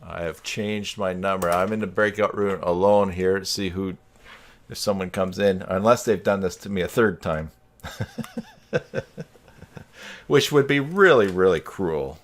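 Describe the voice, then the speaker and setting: A man's voice: low voice, measured pace, long pauses. A man hosting a live stream, chatting informally and jokingly with a group on a video call.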